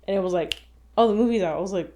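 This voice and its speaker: A woman's voice: mid-range voice, very fast, dark tone, a little hiss or hum. A woman co-hosting a casual gaming podcast, explaining at length with plenty of fillers. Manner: chatty, filler-heavy, explaining. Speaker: podcast co-host. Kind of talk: casual chat.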